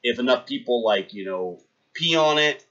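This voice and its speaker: A man's voice: medium pitch, animated, moderate pace, dull audio. A young man hosting a livestream, telling a rambling story in casual chat and talking to his viewers. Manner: rambling anecdote, talks to chat, shouts out followers. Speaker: livestream host.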